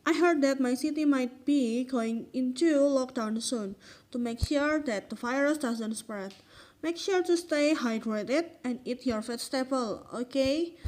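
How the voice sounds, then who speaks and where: A woman's voice: sits high, measured pace, a little mellow. A woman playing a loving, caring mother in an amateur radio drama, with a doting, motherly way of talking. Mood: concerned, loving.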